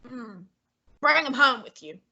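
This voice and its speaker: A woman playing a fussy, imperious, heavyset male detective in a student radio drama, speaking in a haughty, deliberate, commanding way with a breathy tone. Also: sits high, lively intonation, sharp tone, highs cut off, exaggerated voice.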